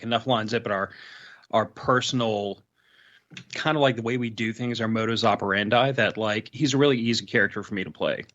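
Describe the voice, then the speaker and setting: A man's voice: mid-range voice, a bit bright. A man with a Southern Texas-Louisiana accent chatting casually, in a wry and wordy way. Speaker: voice actor in an audio drama. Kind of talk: casual chat. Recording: slightly dull, lo-fi audio.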